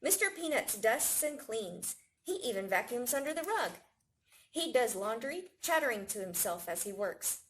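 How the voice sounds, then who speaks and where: A woman reading a picture book aloud to young children in an expressive storytelling manner. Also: fairly high pitch, moderate pace, a little hiss or hum. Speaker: library staff storytime reader.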